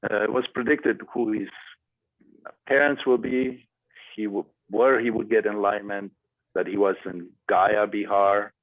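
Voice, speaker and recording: A man speaking as a guest to a class and answering student questions, with many pauses and fillers. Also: fairly low pitch, fairly even intonation, dry recording. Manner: hesitant, many fillers and restarts. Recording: dry tinny phone-like audio.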